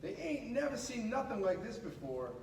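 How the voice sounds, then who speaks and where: Echoey high-voiced man reading a storybook aloud. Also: very animated. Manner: dramatic, with pauses and drawn-out emphasis. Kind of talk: animated read-aloud of a children's picture book. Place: echoey room at a school. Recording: echoey room, distant mic, clear background noise.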